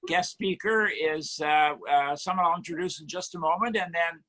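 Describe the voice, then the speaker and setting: Host, man, high and bright voice, halting intro on a video call. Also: rough recording. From Canada. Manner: halting, full of uh fillers. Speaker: meeting host. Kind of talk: hosting a video meeting.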